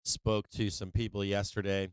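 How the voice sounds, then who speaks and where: Male stream host, low and resonant but slightly worn voice, casual delivery, dry close sound, faint guitar behind. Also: little pitch movement, moderate pace, clean tone, dry recording. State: voice starting to go. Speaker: livestream show host. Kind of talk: casual livestream chat to viewers.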